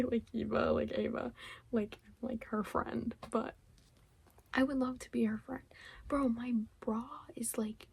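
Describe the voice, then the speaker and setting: A young woman, a little hoarse from losing her voice, chatting casually about books she read, rambling with lots of fillers and giggles. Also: on the high side, a little mellow, dry recording. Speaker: book vlogger. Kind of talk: casual book wrap-up chat.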